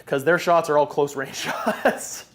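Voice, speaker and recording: A man's voice: a little high, steady tone, a little slow, slight background noise. A man playing a tabletop wargame on a live stream, thinking aloud about his moves and often restarting sentences. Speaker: wargame player. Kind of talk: casual live game chat.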